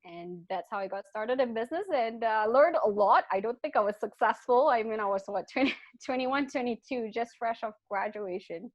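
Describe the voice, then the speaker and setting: A woman's voice: fairly high pitch, moderate pace, few pauses, no echo. Woman with mixed British-Malaysian accent. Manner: animated, frequent restarts, laughs between thoughts. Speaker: guest. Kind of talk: casual interview talk. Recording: dull lo-fi dry sound.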